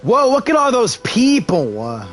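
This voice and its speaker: A man's voice: extremely high pitch, wildly swooping pitch, measured pace, a bit bright. A man doing live let's-play commentary, playful and hammy, with role-played replies and stammering asides. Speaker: gaming streamer. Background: game music.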